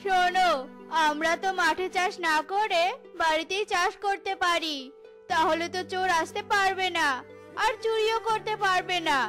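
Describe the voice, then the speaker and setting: A woman playing a tiger farmer's wife in an animated fairy tale, practical and persuasive but easily alarmed. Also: extremely high pitch, normal speed, faint music behind. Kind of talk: Bengali animated fairy-tale cartoon dialogue.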